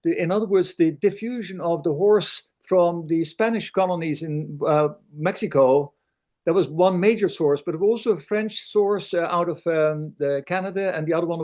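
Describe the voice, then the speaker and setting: A man's voice: fairly high pitch, moderate pace, a little mellow. Older man, rambling question. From Netherlands. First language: Dutch. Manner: rambling, many fillers. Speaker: audience member asking a question. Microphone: video call.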